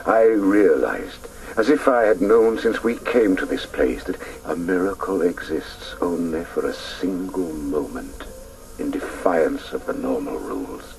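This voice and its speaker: A grown man haunted by his childhood, telling it in solemn, hesitant first person. The voice is very deep and creaky. The radio recording is a little distant with faint noise. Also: lively intonation, a little slow, darkish tone, soft background music, exaggerated voice. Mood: reflective. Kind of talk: dramatic first-person narration.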